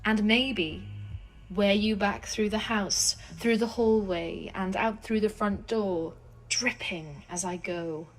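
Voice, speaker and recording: An actress plays a young woman out for revenge in an intimate radio play. She speaks slowly at a middle pitch, pointed and predatory, with cool menace in each deliberate phrase. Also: steady tone, soft background music, low-quality recording. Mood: menacing, predatory. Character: vengeful young woman Ruby, coolly menacing, predatory hunter. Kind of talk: intimate audio drama monologue.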